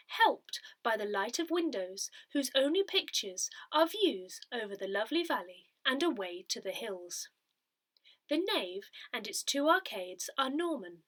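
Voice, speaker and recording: A woman reading a parish newsletter and its notices aloud from a script, measured and careful with each detail. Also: on the high side, fairly bright tone. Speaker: reader of a parish newsletter audio edition.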